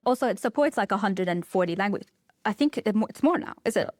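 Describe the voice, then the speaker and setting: A woman's voice: medium pitch, expressive, fast, darkish tone, no room sound, clean studio sound. A young woman co-hosting a podcast, asking questions in a chatty, playful way with plenty of "like" and "you know". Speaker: podcast co-host. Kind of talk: casual podcast interview.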